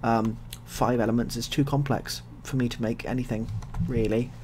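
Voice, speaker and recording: Male ASMR storyteller, mid-pitched, soft-spoken, deadpan and repetitive, improvising as he goes; noticeable background noise. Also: moderate pace. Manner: rambling, repetitive, deadpan dark humour. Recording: clearly audible background noise.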